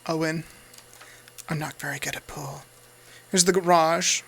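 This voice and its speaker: A man's voice: fairly high pitch, fairly even intonation, a little slow, creaky, a bit bright, breathy edge. An American man giving casual, off-the-cuff gameplay commentary in an easygoing, rambling way. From United States. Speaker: Let's Play host.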